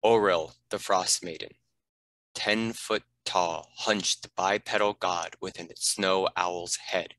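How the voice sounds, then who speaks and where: A man's voice: low voice, steady tone, slow pace, sharp tone, rough recording. A man reading scripted narration and stage directions for a fantasy script read.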